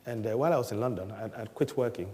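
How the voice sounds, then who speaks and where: A middle-aged man from Ghana recounting his life in a relaxed storytelling way, chuckling often and mimicking people in his anecdotes. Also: fairly low pitch, fast talker, big-room sound.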